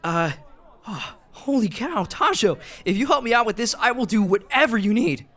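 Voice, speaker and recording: An awkward, grumpy young student, acted out by a fan performer in a comic dub. The mid-pitched voice is hesitant and casual, with jumpy, lively swings. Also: normal speed. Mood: hopeful, startled. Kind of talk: fandub character acting.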